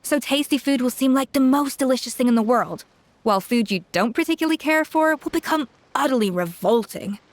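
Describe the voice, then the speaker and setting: A blunt, scolding master chef. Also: female voice, sits high, expressive. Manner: brisk, scolding, exasperated. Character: proud brilliant woman chef, blunt stern mentor. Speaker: head chef, mentor. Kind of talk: game cutscene voice acting. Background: faint game music.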